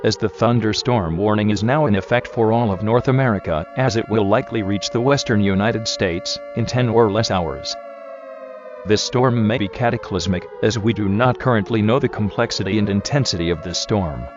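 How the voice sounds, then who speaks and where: A man playing a solemn emergency broadcast announcer, reading scripted warnings in a formal, measured manner. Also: fairly low pitch, over music, natural voice. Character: solemn male emergency alert system announcer. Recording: dull lo-fi, roomy echo.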